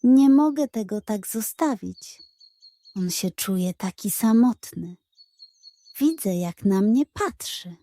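A woman's voice: medium pitch, expressive, slow pace, mellow, no room sound. A woman voicing a scheming, hungry male pug in a children's storybook, with a pleading, theatrical, self-important manner. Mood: longing, resolute.

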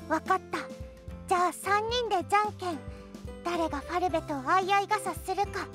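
Very high, sweet, easygoing girl. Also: normal speed, over music. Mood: matter-of-fact. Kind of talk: Japanese anime game radio drama acting.

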